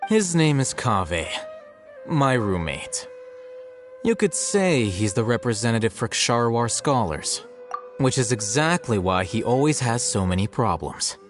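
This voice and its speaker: A man's voice: mid-range voice, lively intonation, unhurried, music in the background, lightly acted. An actor playing an aloof, blunt young male scholar in a video game, speaking in a dry, matter-of-fact, unbothered manner. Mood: dry, dismissive.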